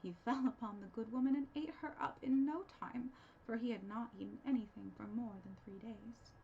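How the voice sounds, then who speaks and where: A woman narrates a fairy tale expressively in a fairly high, lively voice with some creak at the edges. The sound is slightly dull. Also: moderate pace. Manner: expressive, storytelling delivery. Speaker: librarian storyteller. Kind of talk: scripted storybook narration. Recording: dull, slightly noisy recording.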